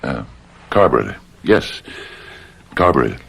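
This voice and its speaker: A man playing a jittery, guilt-maddened murderer in an old radio drama, halting, stammering and repeating his words. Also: extremely low pitch, big pitch swings, vocal fry, fairly bright tone, husky, heavily acted. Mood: nervous, distracted.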